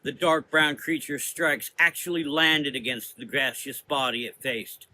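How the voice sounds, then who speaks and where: Guy narrating a gothic horror story, forceful bright voice, dramatic, some ambient noise behind. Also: medium pitch, steady tone, measured pace, rough recording.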